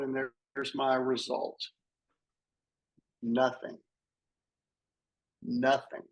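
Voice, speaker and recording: A man in his sixties with a husky voice, earnestly telling his own patient story and advising listeners. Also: on the high side, low-quality recording. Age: 60s. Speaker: patient panelist. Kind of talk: sharing a personal story on a video panel.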